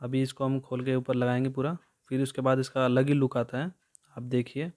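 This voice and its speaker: A man's voice: medium pitch, little pitch movement, fairly quick. Chatty Indian man vlogging outdoors. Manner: chatty, repeats "so doston", shows each step. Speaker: camping vlogger. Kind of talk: casual how-to vlog. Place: open hillside meadow outdoors.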